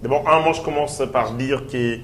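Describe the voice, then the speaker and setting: A man's voice: mid-range voice, normal speed, slight background noise. A man with a Congolese accent making a political argument as a panel guest, in a didactic, repetitive way. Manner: didactic, repetitive, explains with examples. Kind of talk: political argument, mixing French and Lingala. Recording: roomy echo on the voice.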